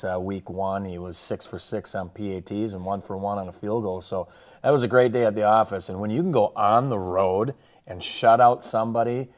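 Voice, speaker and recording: A man who coaches football, casually recapping a game and its highlights in colloquial coaching slang. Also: a little mellow.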